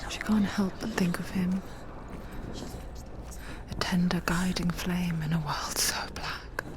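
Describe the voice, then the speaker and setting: An actress playing an intimate, knowing narrator who tells a dark game story in hushed, measured words. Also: female voice, fairly low pitch, fairly even intonation, noisy background. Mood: tender, hushed.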